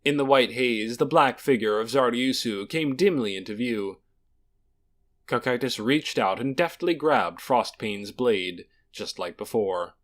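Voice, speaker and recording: A man's voice: mid-range voice, lively intonation, unhurried. A man narrating a fantasy audiobook in a dramatic, scripted storytelling style.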